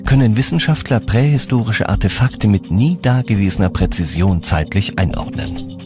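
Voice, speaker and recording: A man's voice: lowish voice, expressive, moderate pace, music in the background. A man reading scripted documentary narration in as the narrator.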